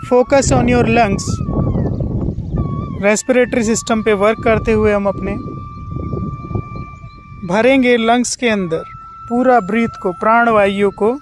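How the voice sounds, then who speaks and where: An Indian yoga nidra instructor guiding relaxation in a calm, soothing way with drawn-out instructions and pauses. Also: mid-range voice, noisy background. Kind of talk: guided relaxation meditation.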